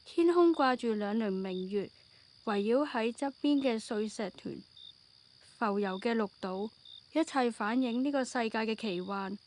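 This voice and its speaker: A woman's voice: on the high side, lively intonation, unhurried, darkish tone, a little hiss or hum. A woman, reading scripted narration for an audio drama.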